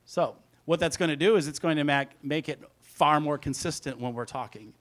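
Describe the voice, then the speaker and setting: A man's voice: on the high side, moderate pace, a bit bright. Casual man walking through audio production. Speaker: music producer giving a presentation.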